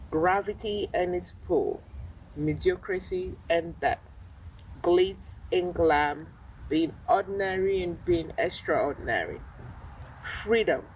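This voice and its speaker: A woman reading spoken-word poetry in a deliberate, list-like cadence. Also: fairly low pitch, a little mellow. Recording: distant mic, faint background noise.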